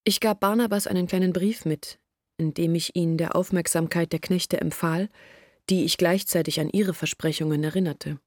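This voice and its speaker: A woman's voice: fairly low pitch, fairly even intonation, normal speed, darkish tone, dry recording, studio quality, close to an everyday voice. An actress playing a young village woman who earnestly confides her family's troubles in a radio play. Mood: earnest, confiding. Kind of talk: German radio play monologue.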